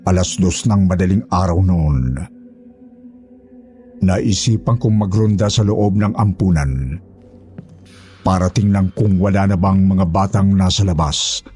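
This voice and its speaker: A man's voice: sits low, mellow. A man narrating a scripted horror story in the first person as its storyteller.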